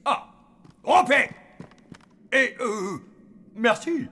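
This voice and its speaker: Cartoon zombie hotelier, nervy and theatrical, very high-pitched and slow. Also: male voice, lively intonation, bright, forceful tone, a little hiss or hum, full character voice. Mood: awkward, eager. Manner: theatrical, hesitant, nervous forced laughter. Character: jittery male zombie hotel-keeper posing as human. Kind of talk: French animated series voice acting.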